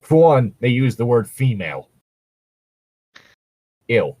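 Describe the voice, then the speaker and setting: A man's voice: mid-range voice, big pitch swings, slow pace, mellow, breathy edge. A man doing casual comedic commentary as a co-host reading fan fiction aloud, sweary, mocking and exasperated. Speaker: podcast co-host.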